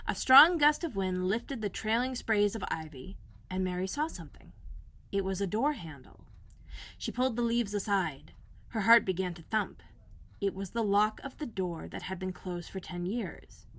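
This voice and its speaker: A woman librarian reading a children's book aloud in a gentle, clear storytelling manner. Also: medium pitch. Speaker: school librarian.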